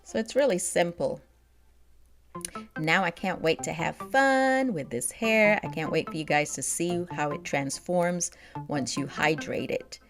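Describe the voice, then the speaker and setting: Low-voiced upbeat woman vlogger. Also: a little slow, soft background music. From Nigeria and United States. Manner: chatty, upbeat, laughs often. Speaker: beauty vlogger. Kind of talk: casual product review.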